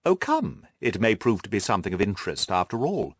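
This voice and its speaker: A man's voice: fairly low pitch, big pitch swings, a little fast, breathy edge, no echo, studio quality. Guy reading as a shrewd detective, precise and sure of himself, voice lifts and swings around a lot. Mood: brisk, assured. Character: shrewd, brisk, self-assured male detective. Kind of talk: classic detective audiobook dialogue.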